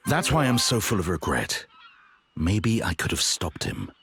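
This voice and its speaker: A man voicing a grave, honourable, regretful warrior in a video game, earnest and measured. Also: sits low, wildly swooping pitch, fairly bright tone, faint music behind, heavily acted. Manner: earnest, measured, formal, brooding. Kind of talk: dramatic video game voice acting.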